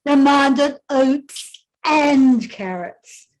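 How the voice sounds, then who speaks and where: An older woman reading aloud as a lazy, demanding wombat, in terse, deliberate diary entries. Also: high voice, poor audio. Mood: demanding, smug. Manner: terse, deliberate, deadpan self-importance. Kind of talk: picture book read-aloud.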